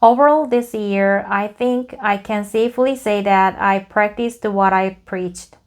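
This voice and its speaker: A woman's voice: medium pitch, measured pace. A woman with a Korean accent, an English learner reading a podcast script aloud for practice, carefully restarting and repeating phrases.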